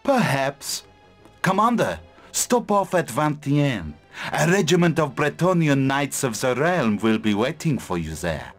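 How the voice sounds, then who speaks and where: A man's voice: mid-range voice, sing-song, unhurried. An actor playing a haughty, imperious older king in a video game. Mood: grudging. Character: haughty, bearded older human king, grudgingly generous. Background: music.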